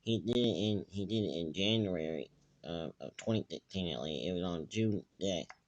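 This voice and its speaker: A man giving rambling casual commentary in a halting, repetitive way with muddled wording. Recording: dull, echoey low-fidelity recording.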